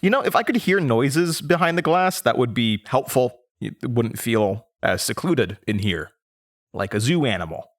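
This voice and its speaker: A man playing a nervous young man from a small Midwestern town, recounting his past in a halting, conversational audio drama monologue. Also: mid-range voice, expressive, dry recording, studio quality, natural voice. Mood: uneasy, wry. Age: young adult. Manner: hesitant, stammering, rambling. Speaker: audio drama lead character.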